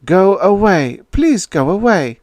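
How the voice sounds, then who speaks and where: A man reading an audiobook puts on a frightened, lonely woman close to tears. Her voice leaps high and loud as she pleads and cries out. The sound is dry and close. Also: big pitch swings, takes it slowly, no echo, full character voice. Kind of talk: audiobook character voice.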